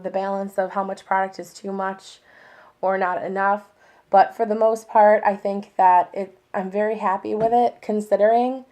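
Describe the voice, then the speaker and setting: A woman's voice: medium pitch, a little mellow. A young woman chatting casually about her favorite products, rambling with fillers and sounding a little worn from a lingering cold. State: recovering from a cold, voice a bit worn. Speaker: beauty vlogger. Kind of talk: casual monthly favorites review.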